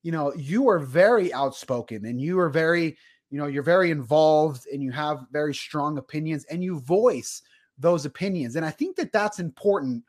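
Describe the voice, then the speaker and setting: Chatty podcast host, man, slightly high voice. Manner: casual and chatty, many "kind of" and "you know" hedges. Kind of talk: podcast interview.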